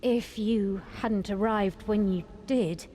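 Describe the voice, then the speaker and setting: A woman's voice: mid-range voice, steady tone, slow, warm tone, slight background noise, close to an everyday voice. A woman playing an earnest, grateful young nun held captive, speaking politely and formally in fantasy game dialogue. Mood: grave, worried. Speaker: game character. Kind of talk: voice-acted fantasy quest dialogue.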